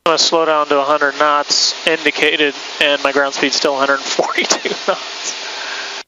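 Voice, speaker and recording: A man's voice: a little high, little pitch movement, a little slow. A man with an American accent, a student pilot talking on a headset in a small plane, making radio calls and casual comments. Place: inside small propeller plane cockpit in flight. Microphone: aviation headset. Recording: loud engine drone, noisy cockpit headset audio. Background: aircraft engine noise.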